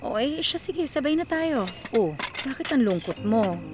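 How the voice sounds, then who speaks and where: A woman playing a caring, gently firm, hardworking widowed mother in a radio drama. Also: sits high, sing-song, measured pace, noisy background.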